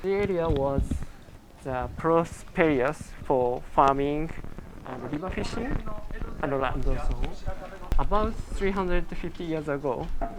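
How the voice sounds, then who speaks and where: A man's voice: a little high, measured pace, poor audio. A man who speaks Japanese natively, hosting and guiding a walking tour outdoors, explaining sights in simple English. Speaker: tour guide and channel host. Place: outdoors on busy town streets. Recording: outdoor street noise.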